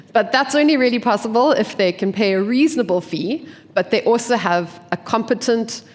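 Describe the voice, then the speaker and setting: Congested woman presenting in a reverberant hall. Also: medium pitch. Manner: clear, structured explanation with rhetorical questions. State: congested from a cold. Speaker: investment team member presenting at a forum. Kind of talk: conference presentation. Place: conference venue.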